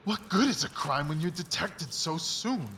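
Audio drama detective played by a man: wry, sharp, slightly high male voice, faint background noise. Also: animated, normal speed. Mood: puzzled, musing.

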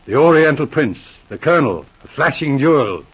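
A calm no-nonsense mountie sergeant, dry teasing, husky voice, dull old radio sound. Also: male voice, a little high, moderate pace. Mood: dry, patient. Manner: patient, dryly teasing. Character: methodical, dry-witted male Mountie sergeant. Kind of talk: 1940s radio acting.

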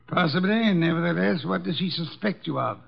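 An actor playing a brilliant, irritable, heavyset detective in a 1950s radio drama, with gravelly voice and formal, pompous diction. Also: male voice, high-pitched, a little fast, heavily acted. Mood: brusque, probing. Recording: muffled old 1950s radio recording.

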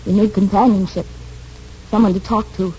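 An actress playing a gentle, helpful young woman in a 1940s radio drama, soft-spoken, polite and earnest. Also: medium pitch, steady tone, normal speed, breathy edge. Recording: muffled, noisy 1940s radio recording.

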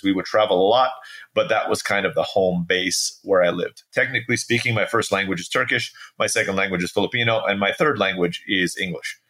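Interview guest: American male, forties, bright forward voice, casual conversational storyteller. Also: fairly low pitch. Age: 40s. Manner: conversational, peppered with "right?" tags.

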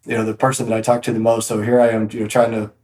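A man's voice: on the low side, level tone, racing, a little mellow, echoey room. A man guest on a podcast, telling stories about his work in a casual, rambling way with many restarts. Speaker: podcast guest, real estate agent. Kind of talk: casual interview storytelling.

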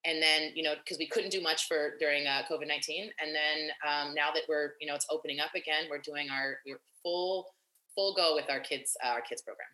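A young woman activist talking in an interview, animated and long-winded with many fillers and restarts. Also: low-pitched, fairly quick, roomy sound, low-quality recording. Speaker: activist and nonprofit founder. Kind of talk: casual interview conversation.

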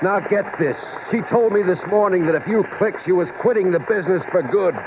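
A blunt, protective backstage pal in a 1950s radio play, rather high-voiced and quick to scold. The old broadcast is dull, with clear noise behind him. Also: male voice. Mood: scornful. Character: blunt, protective showbiz friend, a man who scolds. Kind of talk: 1950s radio drama acting.

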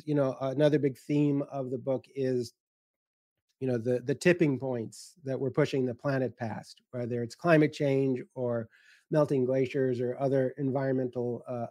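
A man speaking off the cuff in a panel discussion as a guest editor, haltingly and with many broken-off starts. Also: mid-range voice, dark tone, no echo, studio quality. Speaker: guest editor of a science writing anthology.

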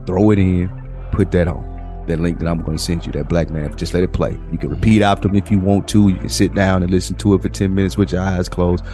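Deep-voiced man chatting on a podcast. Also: dark tone. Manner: laid-back, rambling, swears freely. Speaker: podcast co-host. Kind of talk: casual podcast chat.

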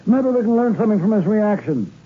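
An actor playing a gruff, world-weary, paternal police lieutenant in a clipped, dry style of 1960s radio crime drama. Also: male voice, sits high, very animated, fast talker, narrow, tinny audio, exaggerated voice. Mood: musing. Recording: thin, noisy old radio recording.

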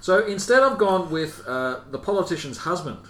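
A man's voice: high-pitched, very animated, moderate pace, fairly bright tone. A man hosting a casual chat podcast, rambling and hesitant as he talks. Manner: rambling, hesitant, chuckles while reading aloud. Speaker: podcast host. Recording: echoey room with faint hiss.

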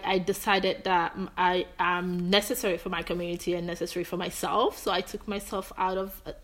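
A young woman from Greece of Nigerian heritage, talking casually as an interview guest, animated, with frequent restarts and searching pauses. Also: medium pitch. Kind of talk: casual conversation.

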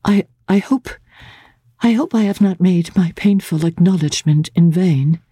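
A woman voicing an anxious, earnest young gentlewoman in a classic-novel audiobook, speaking haltingly and repeating her words. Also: lowish voice, husky, no echo, pitched above the performer's own voice. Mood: pleading, earnest.

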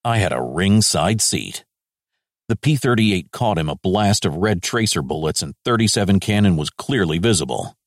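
A man's voice: deep voice, lively intonation, normal speed, no echo, clean studio sound. A man playing an American major general of the Second World War, reading his war diary in clipped, terse phrases. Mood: vivid, matter-of-fact.

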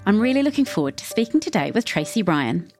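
A woman's voice: mid-range voice, big pitch swings, quick pace, music in the background. A woman hosting a podcast as a career coach, reading her intro and asking questions in an encouraging manner. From New Zealand.

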